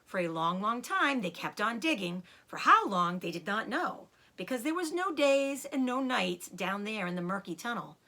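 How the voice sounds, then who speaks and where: A woman storyteller reading a children's book aloud in an expressive narrating style with dramatic emphasis. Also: mid-range voice, moderate pace, hardly any pauses.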